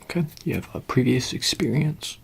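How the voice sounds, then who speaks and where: A man speaking softly in an ASMR roleplay, halting and casual, often slipping into a whisper. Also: noisy background. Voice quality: soft, breathy, often dropping into whisper. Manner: halting, casual, low-key official patter. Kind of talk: ASMR roleplay, soft-spoken, improvised.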